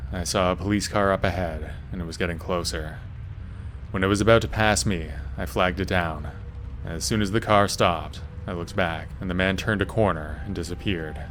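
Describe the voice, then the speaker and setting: A man's voice: deep voice, a bit bright, slight background noise. A man reading a scripted first-person scary story as a narrator.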